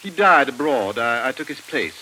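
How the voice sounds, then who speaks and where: An actor playing an urbane, unruffled aristocratic amateur detective, dry and politely understated, in 1940s radio thriller style. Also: male voice, medium pitch, very animated, normal speed, bright, forceful tone, a little hiss or hum, poor audio. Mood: dry, composed. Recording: old radio recording with music behind.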